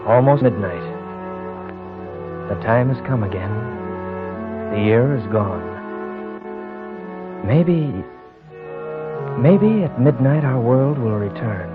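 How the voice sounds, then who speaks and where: A wistful, brooding man speaks very slowly in 1940s radio style. Brass music plays behind him, and the old broadcast sound is narrow. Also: mid-range voice, animated. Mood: wistful, hushed hope. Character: wistful, brooding man hoping for a lost world. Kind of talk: slow 1940s radio dramatic monologue. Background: brass-led orchestral music.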